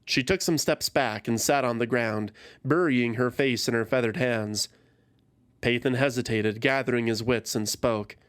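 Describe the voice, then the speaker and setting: A narrator reading a scripted fantasy tale in a dramatic, measured and solemn way. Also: mid-range voice, dry recording.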